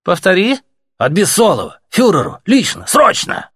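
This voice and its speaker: Brief: gruff loyal fighter, growling and hot-headed, voiced by the narrator. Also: male voice, sits low, huge pitch swings, brisk, heavily acted. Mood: shouting. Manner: growling, shouting or hoarse whispering.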